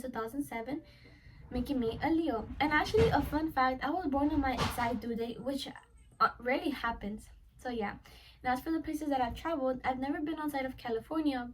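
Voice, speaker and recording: An eighteen-year-old woman, a college student whose first language is Spanish, giving an earnest prepared introduction speech and often wrapping up with "so yeah.". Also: high-pitched. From United States. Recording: voice a little far from the mic.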